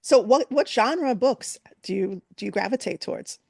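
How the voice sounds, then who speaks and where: A woman's voice: on the high side, animated, normal speed, vocal fry, darkish tone, no room sound, studio quality. Woman host with a New York accent. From Guyana. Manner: friendly, conversational questioning. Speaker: podcast host. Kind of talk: casual podcast interview.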